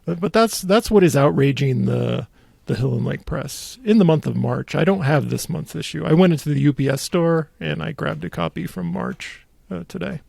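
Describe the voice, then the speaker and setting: Male podcast host, slightly high-pitched with heavy fry, conversational and halting, thoughts trailing off. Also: darkish tone, no echo, studio quality. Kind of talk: casual local-politics podcast chat.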